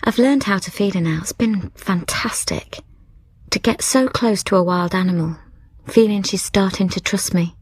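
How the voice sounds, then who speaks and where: A woman's voice: mid-range voice, normal speed, darkish tone, slightly rough, no room sound, close to an everyday voice. An actress playing a reflective young widow, narrating her letters and thoughts intimately in a radio drama. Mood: hopeful, tender. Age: young adult. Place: dry studio.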